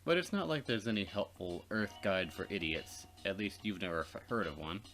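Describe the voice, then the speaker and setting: Low-voiced man narrating a game. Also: animated, moderate pace, fairly bright tone. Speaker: narrator of a game let's play. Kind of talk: reading visual novel narration aloud with casual asides.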